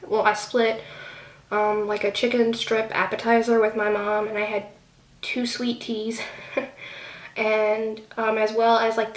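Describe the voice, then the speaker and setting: A woman's voice: a little high, far from the mic. A young woman giving a casual, rambling product review with lots of fillers, sounding a bit nasal from a cold. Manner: chatty, rambling with many fillers. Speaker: beauty vlogger. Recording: echoey room, off-mic, faint hiss.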